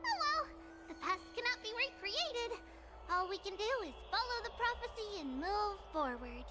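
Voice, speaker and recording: A woman's voice: very high voice, very animated, moderate pace. An actress voicing a small, cheerful winged girl-like goddess who talks in a bubbly, sing-song way. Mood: chatty. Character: tiny winged girl-like demigoddess, cheerful and chatty. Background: game music.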